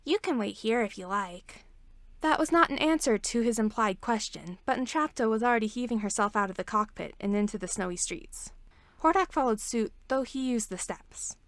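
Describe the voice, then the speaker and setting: A woman narrates scripted fiction as a podfic reader. Her voice is on the high side, and the sound is dry with very little room in it. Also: a little fast, no echo.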